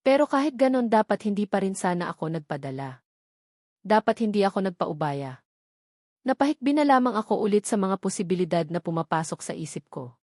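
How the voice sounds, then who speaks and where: A woman's voice: mid-range voice, expressive, brisk, a little mellow, no echo, clean studio sound. A woman with a Filipino accent reading a first-person romance novel aloud as dramatic scripted narration. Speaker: audiobook narrator.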